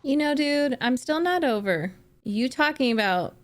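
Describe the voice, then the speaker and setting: A woman's voice: sits high, expressive, moderate pace. A young woman hosting a reaction video, chatting casually, giggling and musing aloud.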